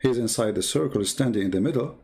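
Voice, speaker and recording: Sardonic man with a creaky, gravelly voice. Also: medium pitch, fast, a little mellow. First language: not English. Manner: sardonic, mocking. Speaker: commentator presenting religious texts. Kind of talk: informal polemical commentary, retelling and reading aloud.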